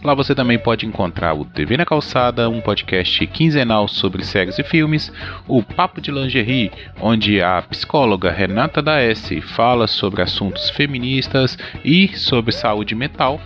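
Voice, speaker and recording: A man's voice: mid-range voice, animated, a little slow, fairly bright tone. A man announcing and narrating in a theatrical radio soap opera style as podcast host. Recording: dull lo-fi audio with music.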